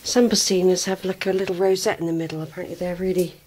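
A woman's voice: fairly low pitch, fairly even intonation, quick pace, darkish tone, slightly rough. A woman with a West Country English accent giving a casual running commentary while filming a country church, chatty and trailing off as she goes. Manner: chatty, talks to herself, trails off. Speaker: vlogger touring a church. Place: outdoors by a country church, then inside it. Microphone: handheld camera mic.

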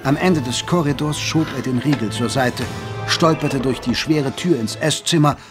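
A man's voice: medium pitch, moderate pace, music in the background, lightly acted. A man playing a grave, literary Victorian chronicler, narrating an audio drama in a solemn storytelling manner. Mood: grave narration.

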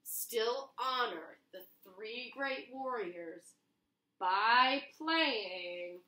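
A woman's voice: a little high, expressive, drawn-out words, bright, forceful tone. A young woman reading a storybook aloud expressively for children, indoors in a kitchen, recorded from across the room. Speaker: children's read-aloud teacher. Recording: roomy echo, mic at a distance.

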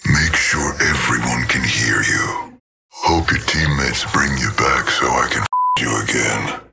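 A man voicing a menacing, sadistic robot assassin in a shooter game, with a growling, gravelly voice and a sinister, taunting delivery. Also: extremely low pitch, slow pace, loud music behind the voice, exaggerated voice. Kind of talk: video game voice lines.

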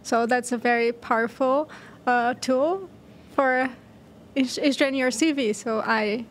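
Young woman podcast guest, high voice, casual answers, little bit of room noise. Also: slow. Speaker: podcast guest, surgical research fellow and mentor. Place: podcast studio, indoors. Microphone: desk microphone on boom arm.

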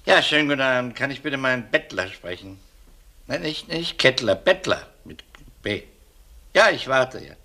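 An actor playing a shrewd, methodical middle-aged police detective in a German crime radio play, measured, probing and courteous. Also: male voice, medium pitch, animated, bright, forceful tone. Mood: affable, sly.

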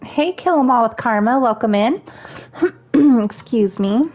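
A woman's voice: on the high side, a little slow, darkish tone. Chatty woman gaming streamer with scratchy voice. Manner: chatty, thinking aloud. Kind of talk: let's play commentary.

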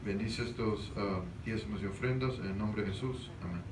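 A man's voice: on the low side, normal speed. A middle-aged man leading a fervent spoken prayer over the offering, constantly repeating the same address to God. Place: small church, indoors. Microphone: handheld mic from a far camera. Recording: echoey room, distant, noisy.

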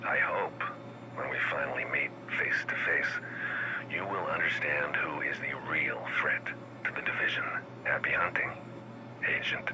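Very deep-voiced menacing rogue agent. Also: male voice. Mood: quietly threatening. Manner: measured, drawn-out, ominous delivery. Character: menacing calm rogue agent leader, taunting and testing. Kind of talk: scripted video game dialogue. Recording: clear background ambient noise.

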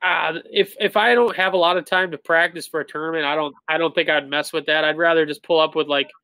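Bright, casual man on a video call. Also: a little high, fast, clear, resonant tone, dry recording. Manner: relaxed, explanatory, frequent "like" fillers. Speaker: guest, tournament bass angler. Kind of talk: casual interview talk. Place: indoor room with shelves of tackle. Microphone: webcam on a video call.

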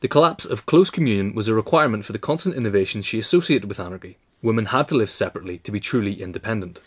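A man's voice: lowish voice, lively intonation, racing, husky. A man narrating a scripted essay as its reader.

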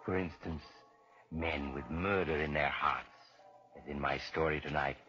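Very deep, sinister storyteller. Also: male voice, fairly even intonation, measured pace, bright, forceful tone, full character voice. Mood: ominous. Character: sinister, ominous male storyteller of murder tales. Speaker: narrator and host. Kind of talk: 1940s radio mystery narration. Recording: dull, lo-fi 1940s radio recording.

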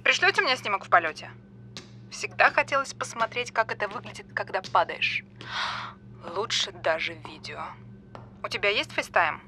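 A woman's voice: medium pitch, lively intonation, normal speed, bright, forceful tone, faint music behind. A woman acting in an audio drama as a callous, flippant, fame-hungry character on a phone call, mocking and breezy.

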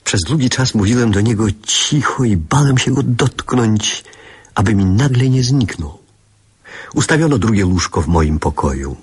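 An actor playing a wistful grown man who narrates memories of his boyhood in a measured, reflective radio drama style. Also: lowish voice, animated, slightly rough.

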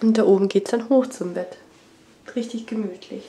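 A woman's voice: mid-range voice, normal speed, mellow. A young woman with a cold gives a casual tour of her family home, walking from room to room as she films herself on a handheld camera. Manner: chatty, walking and pointing things out. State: has a cold. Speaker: family vlogger. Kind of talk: casual house tour vlog. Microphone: handheld wide-angle action camera at arm's length.

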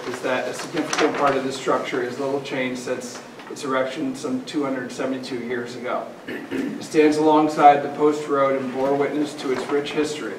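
Man reading aloud, echoey and distant. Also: medium pitch. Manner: stumbles and repeats phrases as he reads. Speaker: town meeting participant reading a letter aloud. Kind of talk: reading a formal letter aloud. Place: large indoor municipal meeting room. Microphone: far camera microphone. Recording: distant, echoey meeting room with background noise.

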